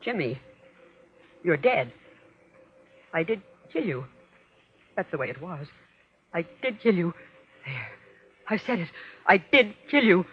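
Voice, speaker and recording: An actress playing a guilt-ridden, murderous stepmother trapped in a nightmare, melodramatic and halting, in old-time radio horror style. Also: fairly low pitch, very animated, slightly rough, exaggerated voice. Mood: guilty, defiant. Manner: melodramatic, halting fragments, from whispers to shrieks. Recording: dull, lo-fi old radio recording with noise.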